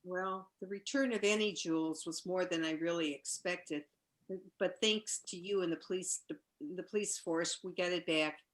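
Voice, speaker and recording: A woman playing a polite, composed widow in a noir radio play, speaking in a measured, matter-of-fact way. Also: on the low side, close to an everyday voice. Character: newly widowed shopkeeper's wife, polite and composed. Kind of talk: 1940s noir radio acting, live community theatre.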